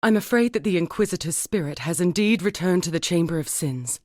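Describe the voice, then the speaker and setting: An actress playing a grieving, learned woman survivor in a dark fantasy video game, speaking in a measured, formal, wistful way. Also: fairly low pitch, expressive, no echo, studio quality. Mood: grave, foreboding. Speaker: non-player character in a video game. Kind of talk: dramatic scripted game dialogue.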